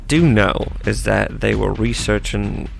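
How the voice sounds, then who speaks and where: A man playing a measured, earnest, slightly awkward small-town clergyman in a radio drama. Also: lowish voice, gravelly, faint music behind. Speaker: actor in an audio drama.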